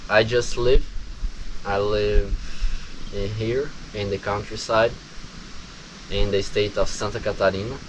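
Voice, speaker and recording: A young Brazilian man in his twenties talks slowly to camera, with a Brazilian Portuguese accent and a halting way of speaking. Steady background hiss sits under his voice. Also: fairly low pitch, low-quality recording. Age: 20s. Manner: halting, with many restarts and pauses. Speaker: vlogger. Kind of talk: casual talk to camera. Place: countryside home.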